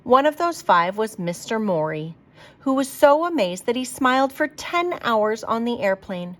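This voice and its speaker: A woman reading a children's picture book aloud like a teacher, with expressive, emphatic delivery for young listeners. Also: fairly high pitch, measured pace.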